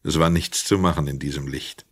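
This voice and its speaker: A man's voice: a bass voice, steady tone, brisk, husky, no echo. A man narrating a crime radio play in a scripted, literary storytelling style. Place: dry studio.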